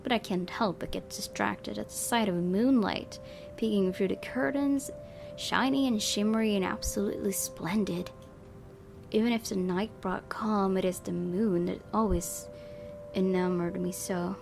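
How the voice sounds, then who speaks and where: A woman's voice: mid-range voice, moderate pace, gravelly. A woman playing a visual novel, reading its text aloud and adding casual reactions. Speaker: game streamer. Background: game music.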